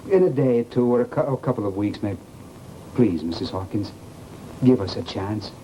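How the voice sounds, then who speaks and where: A man's voice: fairly low pitch, lively intonation, moderate pace, dark tone, lightly acted. An actor playing a polite, secretive, obsessive American man in an old-time radio horror drama, speaking evasively and hesitantly. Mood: pleading, evasive. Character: polite, secretive, obsessive man newly married, Mister Holloway. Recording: muffled, noisy old radio recording.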